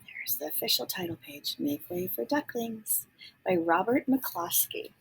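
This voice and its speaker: A woman reading a children's storybook aloud expressively, pausing to add simple explanatory asides. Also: fairly high pitch, big pitch swings, gravelly, a little hiss or hum, poor audio. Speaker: storybook reader.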